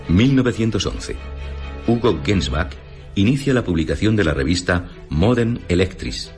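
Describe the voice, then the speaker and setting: A man reading a scripted radio documentary in a measured, formal announcer's delivery. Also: low voice. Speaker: radio narrator. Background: music.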